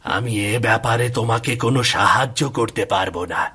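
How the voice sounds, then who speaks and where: Very husky, stern magician. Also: male voice, medium pitch, brisk, pushed and bright. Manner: admonishing, firm. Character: stern, wary village magician, male adult. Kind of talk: animated moral-story cartoon dialogue.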